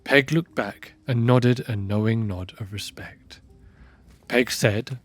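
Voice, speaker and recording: A man narrating a scripted fantasy audio drama in a measured storytelling manner. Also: medium pitch, faint music behind.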